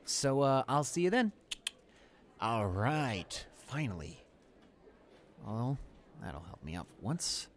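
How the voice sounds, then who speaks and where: A man's voice: medium pitch, sing-song, slow pace, pauses often, slight background noise. A young man playing an arrogant, irritable student bully in an improvised audio roleplay, with a smug, dismissive manner. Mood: conflicted. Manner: smug, dismissive, muttering to himself.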